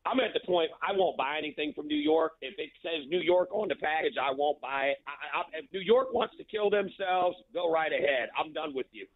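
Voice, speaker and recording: A man's voice: fairly high pitch, expressive, a little fast, vocal fry, hardly any pauses. An American man calling in to a radio show, giving blunt, opinionated casual talk and repeating words for emphasis. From eastern United States (New Jersey, Maryland, Virginia). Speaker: radio call-in listener. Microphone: phone. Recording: tinny narrow phone line.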